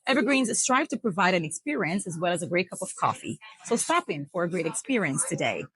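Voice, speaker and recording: A woman's voice: fairly low pitch, sing-song, fast, husky, low-quality recording. A woman hosting a casual talk show from a coffee shop, enthusiastic and upbeat. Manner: upbeat, enthusiastic, warm promoter. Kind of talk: casual interview chat.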